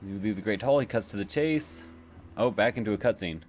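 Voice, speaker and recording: A man's voice: fairly low pitch, fairly quick, a little mellow, slight background noise. A man giving casual let's play commentary, explaining the game and thinking aloud as he goes. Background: game music.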